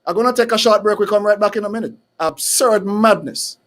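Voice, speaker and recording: Man, talk show host, high voice, fiery, emphatic, rhetorical questions. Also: a little fast. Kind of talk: heated call-in style talk.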